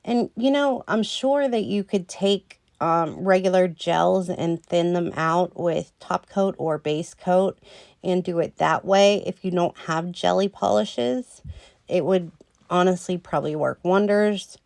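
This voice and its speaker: A woman talking through a nail tutorial in a slow, rambling way with lots of ums, a little hoarse as she loses her voice. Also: on the low side, a little mellow, no echo, clean studio sound. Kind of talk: casual voice-over tutorial.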